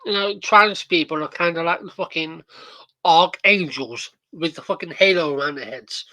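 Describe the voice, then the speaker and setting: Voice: mid-range voice, normal speed, bright, forceful tone, no echo, poor audio. A podcast host in a casual reaction chat, rambling and profane with many fillers.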